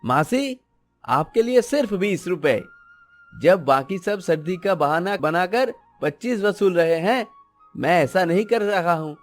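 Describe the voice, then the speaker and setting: High-voiced, polite cartoon vegetable seller played by a man. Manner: polite, reassuring. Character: honest, gentle young male vegetable seller. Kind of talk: cartoon story acting.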